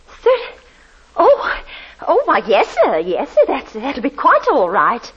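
A timid landlady who stammers and flutters as she tries to please. Her fairly high voice swings widely in pitch. It comes through a dull old radio recording with a faint hiss. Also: wildly swooping pitch, slow, fairly bright tone, breathy edge, exaggerated voice. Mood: flustered. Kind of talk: old-time radio drama acting.

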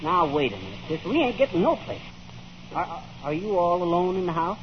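A man's voice: high-pitched, big pitch swings, fairly quick. An actor playing a folksy, blustering and easily flustered American salesman in an old radio comedy. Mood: flustered, exasperated. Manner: folksy, blustering, uses ain't. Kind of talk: 1930s radio comedy acting. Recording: muffled, noisy old radio recording.

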